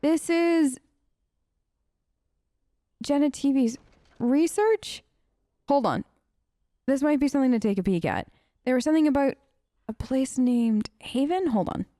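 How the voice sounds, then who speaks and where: Woman playing thru an rpg, high voice, chatty, questions everything, dry close mic. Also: expressive, a little slow, long pauses, dark tone, no echo. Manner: skeptical, chatty, playfully questioning. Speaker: let's play host. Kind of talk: casual role-play game commentary, improvised in-character dialogue. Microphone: close, dry microphone.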